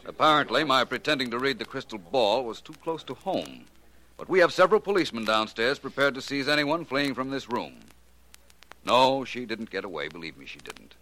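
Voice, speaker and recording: An actor playing a shrewd, self-assured private detective in a theatrical, deliberate 1940s radio drama style. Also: male voice, mid-range voice, lively intonation, bright, forceful tone. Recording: noisy old 1940s radio recording.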